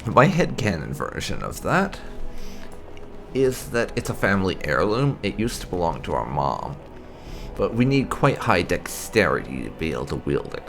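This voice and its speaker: Casual game commentator, male, deep voice with swooping intonation, talks through his choices in loose asides. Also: big pitch swings, moderate pace, vocal fry. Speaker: gaming video host. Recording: game music behind the voice. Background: video game music.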